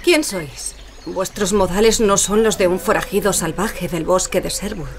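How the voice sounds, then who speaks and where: An actress playing a proud, feisty noblewoman in a Spanish audio drama, indignant and sharp-tongued. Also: lowish voice, moderate pace, lightly acted. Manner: haughty, indignant, courtly. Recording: dramatic music behind the voice.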